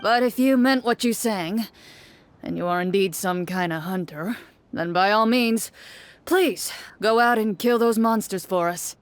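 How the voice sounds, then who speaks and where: A woman's voice: mid-range voice, a little slow, natural voice. Woman voicing a curt, scornful city merchant. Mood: bitter. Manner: curt, scornful, world-weary. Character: disdainful, grumpy, money-minded male city merchant. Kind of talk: tabletop game master role-play in a video game. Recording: music behind the voice.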